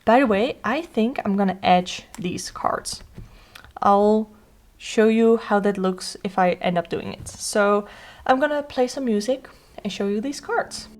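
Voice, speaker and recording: A woman's voice: medium pitch, unhurried. Chatty woman tarot reader. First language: Dutch. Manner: chatty, thinking aloud with frequent "um" and "uh". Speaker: tarot reader, channel host. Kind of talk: casual deck flip-through vlog.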